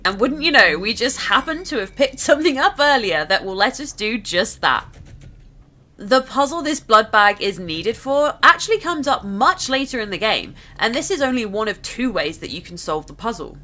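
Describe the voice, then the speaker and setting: A woman narrates gameplay in a bright, fairly high voice. She leads the viewer along with a chirpy, lively lilt. Faint game music plays underneath. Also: normal speed. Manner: chirpy, guiding.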